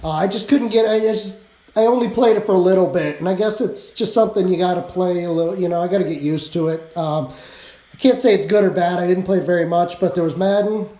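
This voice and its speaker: Hoarse chatty man, echoey room. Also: high-pitched, fast talker, warm tone. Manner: rambling, chatty, laughs often. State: hoarse, losing his voice. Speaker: live streamer. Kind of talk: casual live stream chat.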